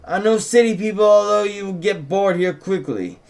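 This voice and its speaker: Man putting on an eager, high-pitched folksy young deputy. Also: moderate pace, a little mellow, natural voice. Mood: friendly, chatty. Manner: folksy, deferential, talkative. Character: eager, courteous young small-town deputy, folksy and chatty. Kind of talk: script table read, in character.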